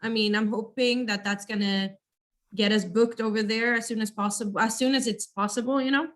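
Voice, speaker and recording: A young woman with a naturally raspy voice talks as a guest in a casual interview. She hesitates, restarts and fills gaps with "like" and "you know". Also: on the high side, steady tone, a little fast. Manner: casual, hesitant, laughs often. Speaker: band vocalist, interview guest.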